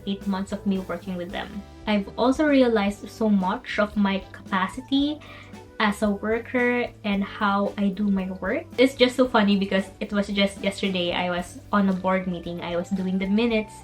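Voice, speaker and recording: A young woman from the Philippines speaks English, which is not her first language, in an earnest, reflective vlog tone. She talks things through at a middle pitch and cheers herself on with soft laughs. Faint music plays underneath her voice. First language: Filipino language. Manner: earnest, self-encouraging, laughs softly between thoughts. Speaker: vlogger. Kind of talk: reflective personal vlog talk.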